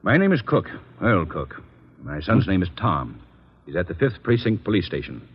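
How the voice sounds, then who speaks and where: Worried, formal father, low and husky. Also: sing-song, normal speed, heavily acted. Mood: worried, polite. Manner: polite, hesitant, formal. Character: earnest, worried father of an accused son, politician. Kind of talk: 1940s radio drama acting. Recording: muffled old radio broadcast.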